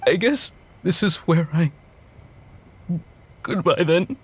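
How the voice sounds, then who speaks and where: Forlorn, slow, high-voiced young man. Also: expressive, husky, full character voice. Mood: dejected. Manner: dejected, halting. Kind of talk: English game dub acting.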